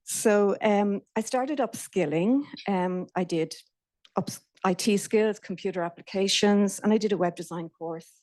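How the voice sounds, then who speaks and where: A middle-aged Irish woman giving a nervous, chatty talk to a live audience, with fillers and restarts. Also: mid-range voice. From Ireland. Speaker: speaker. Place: event venue in a company office.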